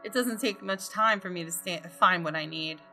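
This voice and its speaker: Bright-voiced woman reading a visual novel. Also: on the low side, moderate pace. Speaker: gaming video host. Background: music.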